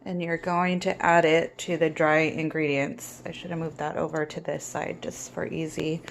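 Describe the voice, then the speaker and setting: A woman with a cold and a raspy voice, giving relaxed step-by-step instructions in a casual cooking tutorial. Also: deep voice, normal speed, slight background noise. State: hoarse with a cold. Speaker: home cook.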